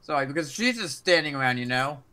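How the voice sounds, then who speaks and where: Playful guy w high voice chatting with friends, loads of voices behind him. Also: lively intonation, fast, a loud crowd. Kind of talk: casual banter among friends.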